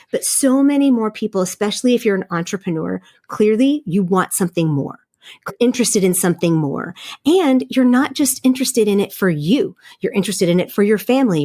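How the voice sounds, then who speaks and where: A woman's voice: medium pitch, darkish tone. A woman, a guest in an interview, speaking in an earnest, emphatic way. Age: 50s. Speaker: podcast guest, leadership coach. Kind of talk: casual interview conversation.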